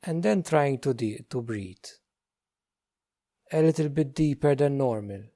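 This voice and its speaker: A man leading a guided meditation as a teacher, speaking gently in short, unhurried phrases with many pauses. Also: medium pitch, animated.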